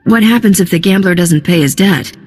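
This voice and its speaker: A woman's voice: on the low side, a little fast, darkish tone, faint music behind, close to an everyday voice. An actress playing a cool female space smuggler in a video game, casual and wry. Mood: wry, businesslike. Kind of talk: video game dialogue acting.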